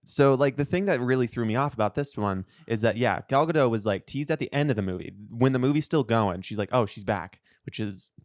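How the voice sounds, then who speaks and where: A man's voice: mid-range voice, a little fast, few pauses, dry recording, studio quality. A man co-hosting a podcast in casual, rambling, slangy chat, often acting out imagined lines of dialogue. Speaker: podcast co-host. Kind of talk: casual pop culture chat.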